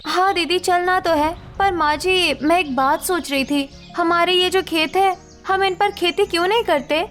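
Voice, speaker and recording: A woman's voice: very high voice, moderate pace, a bit bright, soft background music. A woman voicing an earnest, educated young village bride in a cartoon story. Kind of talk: cartoon moral story acting.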